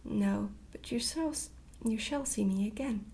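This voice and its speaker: A woman as a hushed, creaky old grandmother queen. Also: mid-range voice, measured pace. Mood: calm, cryptic. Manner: calm, patient, gently cryptic. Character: kindly, mysterious old great-great-grandmother queen. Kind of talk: hushed ASMR storybook reading.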